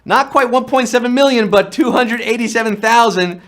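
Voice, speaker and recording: High-voiced punning quiz show host. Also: male voice. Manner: playful, punning, fast-patter quiz patter. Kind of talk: upbeat live game show hosting.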